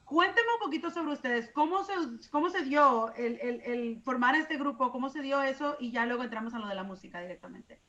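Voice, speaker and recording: A woman's voice: high voice, animated, quick pace, hardly any pauses, sharp tone, muffled recording. A woman from Los Angeles hosting a casual interview, chatty and enthusiastic, moving between English and Spanish. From Los Angeles, USA.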